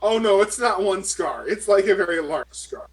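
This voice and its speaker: A man's voice: high voice, animated, normal speed, slightly rough, low-quality recording. A man talking casually as a player in a tabletop role-playing game.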